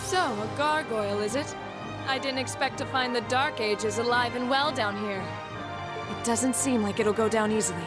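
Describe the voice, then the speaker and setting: A woman's voice: fairly high pitch, normal speed, over loud music, lightly acted. A woman playing a composed, bold young warrior in a video game, with formal wording. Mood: wry, composed. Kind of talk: English video game voice acting.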